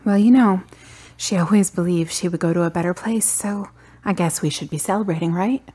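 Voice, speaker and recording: A grieving, stubborn daughter in a radio play. Her voice is low and husky, and she speaks deliberately, with dry wit and a guarded edge. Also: mellow. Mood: polite, subdued. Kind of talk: radio drama acting.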